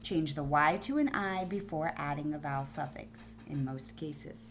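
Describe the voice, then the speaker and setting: A woman schoolteacher giving a phonics lesson to young pupils on video, explaining deliberately and spelling things out. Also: sits low, a little hiss or hum. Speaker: primary school teacher.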